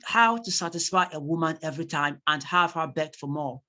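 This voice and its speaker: A Nigerian instructor whose first language is Yoruba gives a classroom lecture in English with a Nigerian accent. The delivery is animated, and key points are repeated for emphasis. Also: mid-range voice, a little fast.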